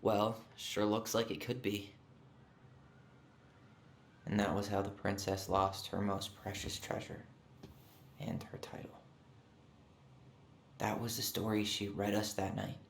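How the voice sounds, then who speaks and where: A man's voice: lowish voice, level tone, takes it slowly, pauses often, echoey room, slight background noise. An actor playing a pensive, guarded young man in a video game, speaking in a subdued, hesitant, reflective way. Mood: somber. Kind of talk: naturalistic game cutscene dialogue.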